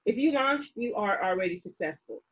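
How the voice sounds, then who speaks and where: A woman hosting a casual interview, lively and encouraging, with a motivational way of talking. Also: mid-range voice, brisk, lo-fi sound. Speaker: host.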